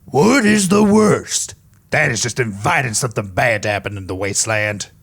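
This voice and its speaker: An actor playing a stubborn, cautious male griffin in an audio drama, with a gruff, gravelly, husky voice and emphatic warnings. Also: mid-range voice, expressive, normal speed, fairly bright tone, no room sound. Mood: exasperated.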